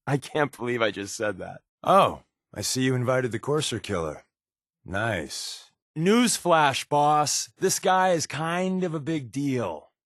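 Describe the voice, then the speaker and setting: A man's voice: mid-range voice, animated, slow pace, fairly bright tone, dry recording. A man playing a wry, sarcastic spy for a secret resistance in a video game, glib and joking with dry asides. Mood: wry, cajoling. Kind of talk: video game character dialogue.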